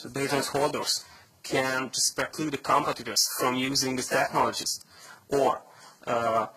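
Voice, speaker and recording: A man's voice: mid-range voice, sharp tone, slightly rough, poor audio. A man with a Hungarian accent giving a conference talk, reading a prepared speech with many hesitations and restarts. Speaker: conference speaker.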